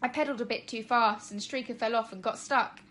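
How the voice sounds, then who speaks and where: A woman's voice: fairly high pitch, brisk, a bit bright, lightly acted. A woman voicing a direct, unruffled young girl in a children's story, speaking insistently. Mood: confessing.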